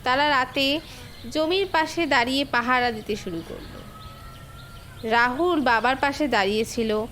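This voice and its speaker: High-pitched female story narrator. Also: a little slow, long pauses, a bit bright, slight background noise. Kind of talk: scripted story narration for a cartoon fable.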